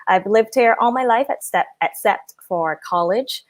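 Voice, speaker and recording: A woman's voice: fairly high pitch, normal speed. A woman with a Barbadian accent, an artist being interviewed, who answers earnestly and thinks aloud with ums and restarts. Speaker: artist guest.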